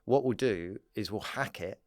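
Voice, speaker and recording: A man's voice: fairly low pitch, moderate pace, darkish tone, no echo, studio quality. A British man, a stand-up comedian chatting casually and playfully on a podcast, riffing and joking as he goes. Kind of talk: casual comic chat on a podcast.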